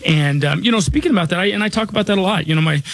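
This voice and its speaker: A man in his forties hosting a radio show, talking casually in a raspy, slightly hoarse voice, rambling with many restarts and fillers. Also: high-pitched, very fast, no echo. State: slightly hoarse from chest trouble. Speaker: radio show host and financial advisor.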